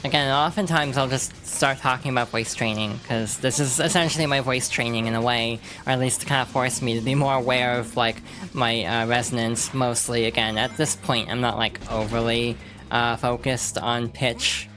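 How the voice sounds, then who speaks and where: A woman's voice: very deep voice, steady tone, normal speed. Rambling woman streamer over game music. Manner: rambling, with many fillers and restarts. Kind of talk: casual let's-play commentary.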